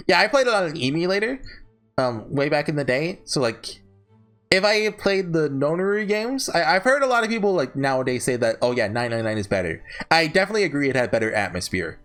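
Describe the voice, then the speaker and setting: A man hosting a casual, unscripted ranking video, talking in a rambling, halting way and often correcting himself. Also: mid-range voice, fairly bright tone. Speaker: video host ranking visual novels. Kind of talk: casual unscripted tier-list commentary.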